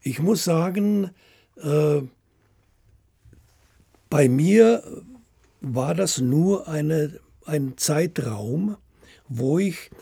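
An older man, a writer being interviewed, talking haltingly as he searches for words and often adding little tag questions. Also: a little high, animated, creaky, mellow, breathy edge, no room sound, clean studio sound. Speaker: radio play writer as interview guest. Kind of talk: unscripted interview chat.

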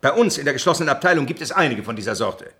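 Cynical, animated, husky space doctor. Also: male voice, mid-range voice, racing, heavily acted. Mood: sneering. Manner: sarcastic, dismissive, sneering. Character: cynical, cold, irritable male space-agency doctor. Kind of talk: radio play dialogue.

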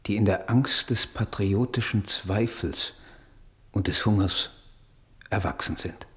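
Troubled idealistic poet, low pitch, husky, solemn, declamatory, literary radio play. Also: male voice, full character voice. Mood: melancholy awe. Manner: solemn, declamatory, elevated diction. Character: idealistic, troubled male German poet in letters. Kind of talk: literary radio drama monologue.

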